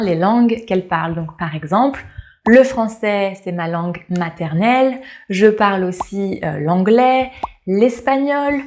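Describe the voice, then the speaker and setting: A woman's voice: medium pitch, darkish tone. Parisian-born woman teaching French phrases. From France. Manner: clear, slow repetition of model phrases. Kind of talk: language lesson to camera.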